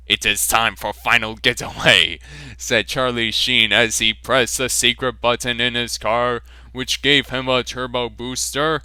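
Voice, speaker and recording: A man narrating an amateur fan fiction reading in a slow, amused, deadpan way. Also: mid-range voice, clean tone, no echo.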